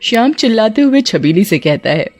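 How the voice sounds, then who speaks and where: A woman's voice: a little high, big pitch swings, normal speed. A woman narrating a scripted cartoon horror story.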